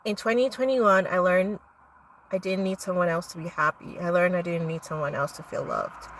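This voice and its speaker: A woman's voice: fairly low pitch, fairly even intonation, normal speed, fairly bright tone, far from the mic, slight background noise, poor audio. A young woman hosting a livestream, reading her own poems aloud with casual asides between them.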